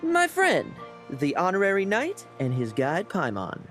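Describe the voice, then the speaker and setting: An actor playing a cocky, mischievous young knight captain in a video game, with a teasing, theatrical delivery. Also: male voice, high voice, huge pitch swings, unhurried, a bit bright, soft background music, full character voice.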